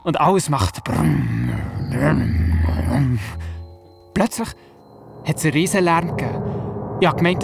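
A performer playing an excitable young boy who retells his dream vividly and naively in a children's radio play. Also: medium pitch, gravelly. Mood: wide-eyed, fearful. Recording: music behind the voice. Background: music.

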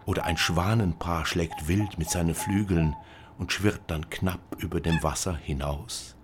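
Male narrator, very low voice, measured poetic reading of literary prose, clear ambient noise behind.

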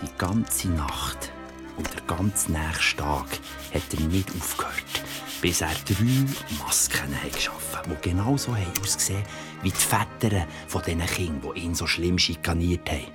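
A man's voice: sits low, lightly acted. An actor with a Swiss German accent playing a menacing, coughing father who tells a gruesome bedtime story in an audio drama. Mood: sinister, hushed. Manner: slow, obsessive, repeating words for menace. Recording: loud music under the voice.

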